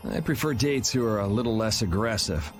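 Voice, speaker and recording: A man's voice: fairly low pitch, expressive, brisk, music in the background, lightly acted. A man voicing a laid-back, sardonic young adventurer in an anime video game dub. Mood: dry, teasing.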